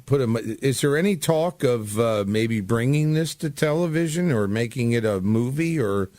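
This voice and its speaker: Man, radio host, average pitch, dry studio-like sound, halting, rambling, casual chat. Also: animated, darkish tone, no room sound.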